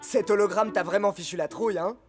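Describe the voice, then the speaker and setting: A man's voice: high voice, steady tone, fast, natural voice. A man playing an easygoing, teasing young footballer in a dubbed cartoon. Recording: loud music over the voice.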